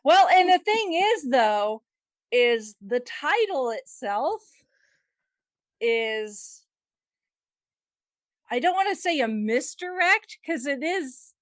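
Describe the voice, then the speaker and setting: A woman's voice: high voice, very animated, slow, pauses often, dry recording, clean studio sound. A woman hosting a podcast in a casual, conversational style. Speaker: podcast host. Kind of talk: casual discussion.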